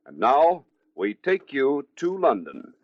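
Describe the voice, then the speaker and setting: A man with a medium-pitched voice works as a 1940s radio news announcer. His scripted delivery is formal and deliberate, in a very dry studio sound with the dull fidelity of an old broadcast. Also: wildly swooping pitch, dry recording.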